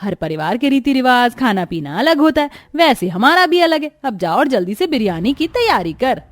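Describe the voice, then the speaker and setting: A middle-aged Indian mother-in-law in a cartoon story. She is bossy and obsessed with food, giving orders insistently in a fairly high voice. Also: big pitch swings, normal speed. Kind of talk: Hindi cartoon story voice acting.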